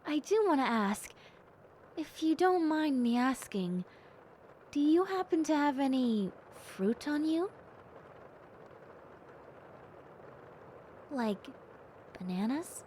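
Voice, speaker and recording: Sweet, high-voiced bat girl, acted by a woman. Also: a little hiss or hum. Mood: hesitant, pleading. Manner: polite, gushing, hesitant, with soft exclamations. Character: sweet, timid, excitable young female bat-girl hybrid. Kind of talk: roleplay audio drama addressed to the listener.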